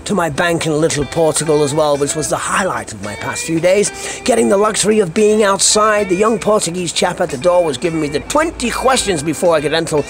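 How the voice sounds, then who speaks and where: A Scottish man with a notably high voice delivers a comic rant straight to his listeners. He is theatrical and foul-mouthed, leaning on colourful slang. Music plays clearly beneath him. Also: animated, fairly quick. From Scotland. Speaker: podcast host. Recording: music under the voice.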